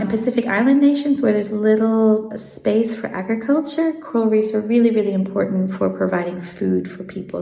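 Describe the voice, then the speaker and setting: A woman in her thirties with an American accent, a marine biologist explaining things in an interview, measured and full of "um" fillers. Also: medium pitch, creaky, mellow, reverberant. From Swiss South African.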